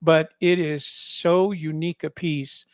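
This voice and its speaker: A man giving casual, explanatory commentary as a vlog host, in a halting way, often repeating and rephrasing himself. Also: fairly high pitch, steady tone, dry recording, clean studio sound. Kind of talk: casual narrated photo commentary.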